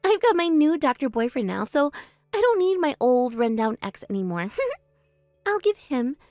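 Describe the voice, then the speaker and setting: A woman's voice: sits high, very animated, moderate pace, a little mellow, no echo, studio quality, faint music behind. A woman doing a spiteful, bratty younger sister. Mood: smug. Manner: mocking, spiteful bragging. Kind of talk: voice drama, one performer.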